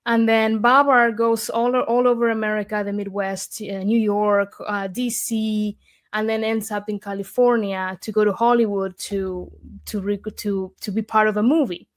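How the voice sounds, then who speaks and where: A woman's voice: mid-range voice, steady tone, moderate pace. A young woman from Argentina, a guest in an interview, speaking earnestly and from the heart, with many fillers and restarts. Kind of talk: conversational interview.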